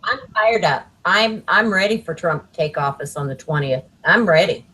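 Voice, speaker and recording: Husky woman on a group call, slow and lively in pitch, emphatic. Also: low-quality recording. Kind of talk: casual group video chat.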